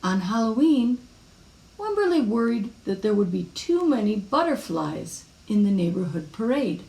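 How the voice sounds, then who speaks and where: A woman reading a children's picture book aloud in an expressive style. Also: mid-range voice, normal speed, vocal fry. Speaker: storybook reader. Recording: echoey room.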